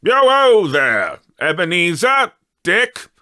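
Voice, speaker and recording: A man's voice: high voice, big pitch swings, slow, pushed and bright, no room sound, clean studio sound, pitched above the performer's own voice, full character voice. A man voicing a fat, jovial old warehouse master with a rich, oily voice, calling out heartily in a storybook. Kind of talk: dramatised storybook reading.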